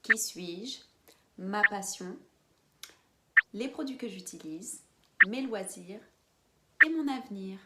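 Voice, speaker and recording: A woman in her twenties from French Guiana, chatting casually to camera as a beauty vlogger, with quiet whispered asides. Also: medium pitch, expressive, takes it slowly, pauses often, very noisy. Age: 20s. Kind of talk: casual vlog chat to camera.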